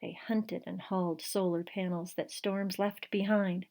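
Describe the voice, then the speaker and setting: A woman reading her own children's picture book aloud in an expressive, dramatic storytelling style. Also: fairly low pitch, a little slow. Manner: rhyming, dramatic read-aloud.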